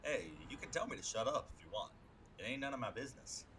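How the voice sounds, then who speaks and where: A man's voice: medium pitch, expressive, fast talker, fairly bright tone, a little hiss or hum, pitched above the performer's own voice, close to an everyday voice. A man voicing a brash but friendly streetwise hitman in a comic dub, talking in a casual, slangy, blurting way. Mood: sheepish, curious. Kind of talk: dramatic comic-book dub dialogue.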